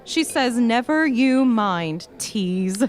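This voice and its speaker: Actress as a chatty high-pitched scatterbrained young woman. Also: lively intonation, slow, background noise. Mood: excited, gossipy. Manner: gossipy, scatterbrained, exclaiming. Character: chatty, distractible young woman glued to social media. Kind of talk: live radio play acting.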